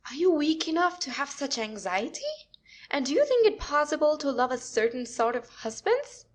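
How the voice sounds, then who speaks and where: An actress playing a scheming, scornful young wife in a classic comedy audio play, with a haughty theatrical manner. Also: female voice, high-pitched, sing-song, normal speed. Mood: scornful, confiding.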